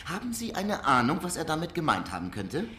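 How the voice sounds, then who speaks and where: An actor playing a brisk, sardonic police investigator in a German radio crime drama, asking clipped, pressing questions. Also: male voice, a little high, wildly swooping pitch, pushed and bright, exaggerated voice. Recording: roomy echo, faint background noise.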